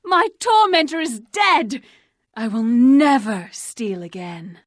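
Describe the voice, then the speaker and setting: A woman's voice: a little high, lively intonation, takes it slowly, no echo. Dramatic fantasy woman, close and dry. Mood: overjoyed. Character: theatrical, coquettish female fantasy schemer and thief. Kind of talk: video game voice acting.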